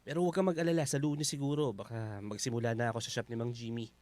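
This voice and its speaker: A man's voice: mid-range voice, fairly even intonation, rapid-fire, darkish tone, lightly acted. A man with a Filipino accent playing a humble, grateful houseguest in a radio drama, with a polite, self-effacing manner. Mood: helpful, modest.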